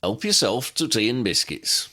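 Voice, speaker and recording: Man putting on an eccentric old pilot, very animated. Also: medium pitch, moderate pace, pitched above the performer's own voice. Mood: chatty. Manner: jaunty, rambling, folksy. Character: eccentric, chatty old male aeroplane pilot.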